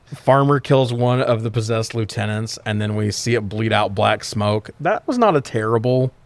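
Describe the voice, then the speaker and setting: A man's voice: fairly low pitch, moderate pace, dry recording. A man hosting a podcast, recapping a film in a casual, comedic way with plenty of swearing and sarcastic riffing.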